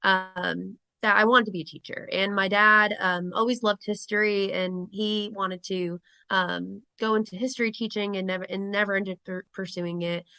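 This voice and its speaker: Bright, gravelly-edged young woman, chatty. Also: medium pitch, fairly even intonation, moderate pace, few pauses, no room sound. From Rhode Island. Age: 20s. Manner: chatty, lots of ums. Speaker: guest, teacher and soccer coach. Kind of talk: casual interview chat.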